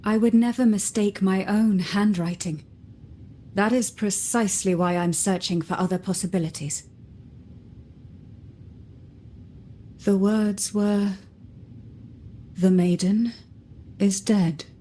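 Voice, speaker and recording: Poised, mysterious noblewoman for a video game, female actor. Soft, mellow tone, unhurried, formal, measured. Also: mid-range voice, steady tone, lightly acted. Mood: grave, mysterious. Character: enigmatic composed noblewoman, secretive and measured.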